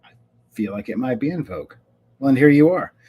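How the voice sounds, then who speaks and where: A man's voice: mid-range voice, expressive, brisk, breathy edge, lo-fi sound. A man hosting a live-streamed interview show from home, chatty and upbeat with a few fillers. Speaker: host. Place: home, lawn crew outside.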